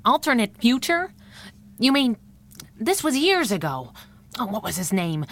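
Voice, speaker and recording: A woman's voice: a little high, big pitch swings, a little slow, dry recording. A woman playing a feisty, wisecracking masked heroine in a 1930s-style radio adventure, sassy and punchy with old slang. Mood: impatient, probing.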